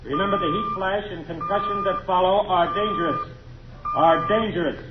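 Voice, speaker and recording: A formal, tense military announcer in an old radio play. His high voice swings widely as he calls out over a loudspeaker. The sound echoes and is very noisy. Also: wildly swooping pitch. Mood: tense countdown. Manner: loud, formal, deliberate. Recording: echoing, muffled, very noisy old recording.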